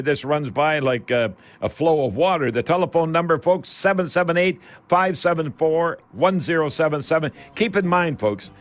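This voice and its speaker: A man hosting a radio call-in show in a folksy, rambling way, addressing listeners as friends. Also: a little high. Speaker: host of a home improvement radio call-in show.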